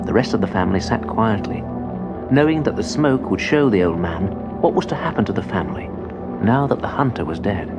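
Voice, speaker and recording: A man's voice: lowish voice, animated, brisk. A man narrating a children's story expressively, on an old cassette transfer. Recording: dull cassette transfer with music behind. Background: instrumental music.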